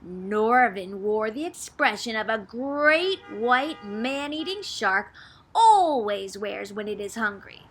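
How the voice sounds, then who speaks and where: A woman reads a picture book aloud in a bright, high voice. Her pitch swoops widely as she stresses words for drama, like a teacher performing for a class. The delivery is theatrical and expressive. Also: slight background noise. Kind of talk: expressive storybook read-aloud.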